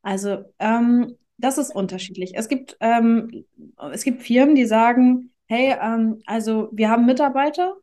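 A woman's voice: fairly high pitch, a little slow, dark tone. A woman giving casual explanations as an interview guest, informally working through examples and adding tag questions. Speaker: interview guest, marketing company managing director.

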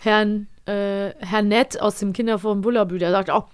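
Chatty, expressive woman co-hosting a podcast. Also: mid-range voice, normal speed, clear, resonant tone. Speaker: podcast co-host. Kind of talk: casual chat about radio plays.

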